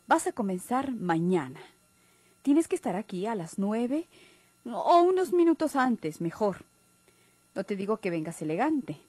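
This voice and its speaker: Bossy sweetheart, Mexican: animated, husky, emphatic, proud, classic radio drama acting. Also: female voice, mid-range voice, unhurried, no room sound. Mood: encouraging, firm. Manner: emphatic, commanding, reproachful. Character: bossy, encouraging, proud sweetheart of a timid young man.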